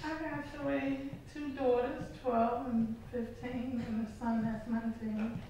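A woman's voice: fairly high pitch, level tone, slow pace, warm tone. An actress on stage playing a tired, blunt, motherly middle-aged waitress with a folksy manner. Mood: weary, brusque. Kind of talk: stage play acting. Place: indoor theatre stage. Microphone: far camera. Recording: distant echoey stage recording, noisy.

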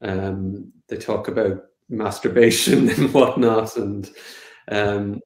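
A man's voice: lowish voice, steady tone, slow, darkish tone. A man in his thirties with an Irish accent, a native Irish speaker, answering interview questions on a video call with a lot of hesitation and restarts. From Connemara, west of Ireland. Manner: hesitant, many fillers and restarts. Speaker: film director. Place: home room, seated on a sofa. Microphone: video call webcam. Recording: echoey room.

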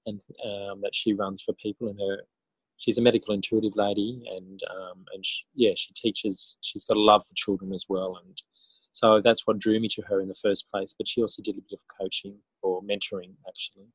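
A man answering as an interview guest in a casual, halting way, thinking aloud as he goes. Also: lowish voice, flat delivery, husky, dry recording, low-quality recording. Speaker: interview guest, author and educator.

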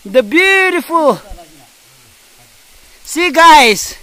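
High-voiced man vlogging on a forest hike. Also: dry recording, poor audio. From India. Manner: excited exclamations, halting simple phrasing. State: tired and thirsty from hiking. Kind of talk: casual vlog narration while hiking. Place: forest trail by a waterfall, outdoors. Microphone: phone held at arm's length.

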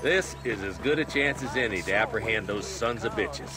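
A man playing a tough, weary older marshal in a video game, gruff and blunt, with an accent of the American West. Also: on the low side, normal speed, over loud music, lightly acted. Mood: gruff, urgent. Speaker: town marshal. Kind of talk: dramatic video game acting.

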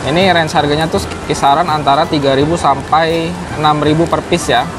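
A man's voice: fairly high pitch, fairly even intonation, brisk, background noise. A young man casually reviewing food as a vlogger. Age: young adult. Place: mall food court.

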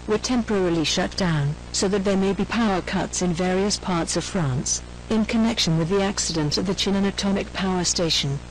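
A woman reading a scripted emergency announcement in a formal, measured, impersonal manner. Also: on the low side, a little hiss or hum, low-quality recording.

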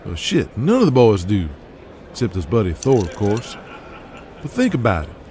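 An actor playing a shrewd, folksy wrestling business adviser in an audio drama, speaking persuasively with the odd hesitation. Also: male voice, fairly low pitch, huge pitch swings, moderate pace, mellow, a little hiss or hum. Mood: worried.

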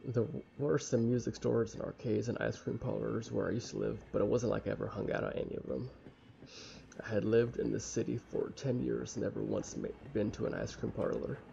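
A young man with a casual, halting, sweary manner reading a visual novel aloud and commenting as he plays. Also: lowish voice, flat delivery, creaky, mellow. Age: young adult. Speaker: let's play streamer. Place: small room at home. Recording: dull lo-fi mic with faint noise.

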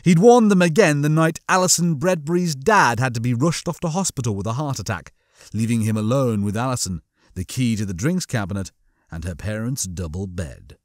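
A man reading comic fiction as a scripted audiobook, with a theatrical, expressive storytelling manner. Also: medium pitch, a little fast, no echo, clean studio sound. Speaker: audiobook narrator.

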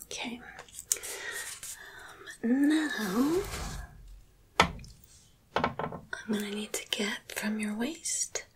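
A young woman speaking in a soft, breathy whisper as a wardrobe fitter in an ASMR roleplay, gentle, unhurried and reassuring, close to the mic. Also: high voice. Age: young adult. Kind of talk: soft-spoken ASMR roleplay, personal attention.

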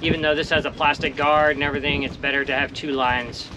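Casual male sailing vlogger, slightly higher voice, laid-back and plain-spoken, recorded on deck with clear wind noise. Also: fairly even intonation, fast. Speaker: solo sailor and vlogger. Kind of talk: casual vlog to camera. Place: outdoors on a sailboat deck. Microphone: action camera at close range. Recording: wind noise on camera mic. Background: wind and water.

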